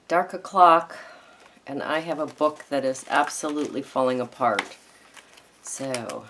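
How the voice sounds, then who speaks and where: A hoarse woman with a froggy voice hosting a casual crafting tutorial, chatting and thinking aloud with ums as she works. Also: rumbling low, background noise. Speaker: craft video host.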